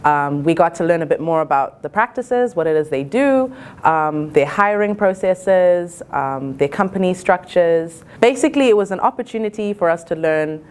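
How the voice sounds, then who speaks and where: Casting: female college student, early twenties, low register, earnest and reflective delivery. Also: animated, normal speed, a little mellow, clear, resonant tone. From Zimbabwe. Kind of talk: reflective interview-style talk.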